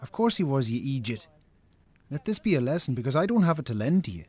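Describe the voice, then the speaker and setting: An actor playing an exasperated, dry-tongued Irish brother chiding a drinker in a naturalistic radio drama. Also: male voice, medium pitch, big pitch swings, fast talker, a little mellow, slightly rough. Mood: exasperated, concerned.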